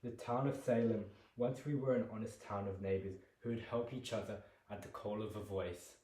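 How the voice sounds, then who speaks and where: A man's voice: on the low side, steady tone, normal speed, natural voice. A young man performing a guilt-ridden condemned man in a jail cell, solemn and pleading. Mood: bitter. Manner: solemn, builds to furious shouting. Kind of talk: dramatic monologue performance. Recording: roomy echo.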